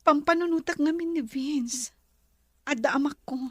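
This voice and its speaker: An actress with a Filipino accent playing a worried, earnest sweetheart in a radio drama. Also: female voice, high-pitched, normal speed, a bit bright, no echo, studio quality.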